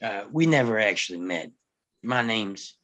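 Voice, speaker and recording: An actor playing a guarded, gruff Old West lawman in a table read, speaking tersely and grudgingly with some hesitation. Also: lowish voice, animated, a little slow, a bit bright. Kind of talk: live table read acting of a western screenplay.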